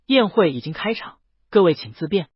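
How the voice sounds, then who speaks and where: A man voicing a cool, composed, wealthy young husband in an audio story, speaking calmly and with understatement. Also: sits high, normal speed, husky, no echo, dull audio, heavily acted. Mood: gently formal.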